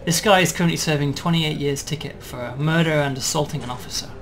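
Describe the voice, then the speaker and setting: A man demonstrating and explaining a game build in a relaxed way, checking in with "right?" as he goes. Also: on the high side, fast, a little hiss or hum. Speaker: game developer demoing.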